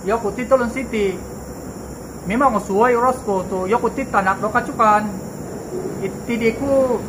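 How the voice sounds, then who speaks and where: A young Malaysian man with a Malaysian accent talks to his viewers in a chatty, reassuring way, and his voice sits high. He records on a handheld camera mic outdoors in the countryside at night. Loud insect and night noise surrounds him. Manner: chatty and reassuring, mixes in Kadazan Dusun phrases. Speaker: vlogger. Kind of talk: casual talk to camera.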